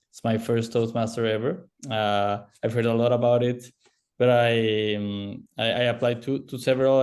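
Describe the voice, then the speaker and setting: A man from Colombia with a Colombian Spanish accent, a guest speaking carefully with many fillers on a video call. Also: mid-range voice.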